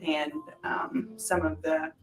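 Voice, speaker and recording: A woman's voice: low voice, flat delivery, slow pace, far from the mic, faint music behind, rough recording. A woman telling her personal story in a reflective, informal way, as in a documentary interview. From Hawaii, island of Kauai. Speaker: shaved-ice business owner.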